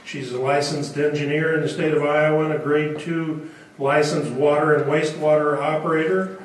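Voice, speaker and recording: A man introducing a speaker and reading event announcements, halting with many pauses. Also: a little high, flat delivery, a little hiss or hum. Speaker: event host. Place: auditorium. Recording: echoey hall, distant mic.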